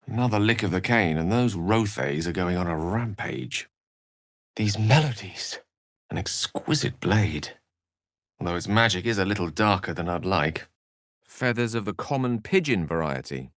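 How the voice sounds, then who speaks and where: A man's voice: sits low, lively intonation, moderate pace, fairly bright tone, no room sound, studio quality. A man playing an erudite, witty wizard in a fantasy video game, speaking with eloquent, wry and courteous theatricality. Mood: wry, amused.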